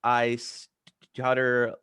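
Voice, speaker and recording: Male participant, stutters, slow and careful, dry close sound. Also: medium pitch, monotone, fairly bright tone, clear, resonant tone, no room sound. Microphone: video call.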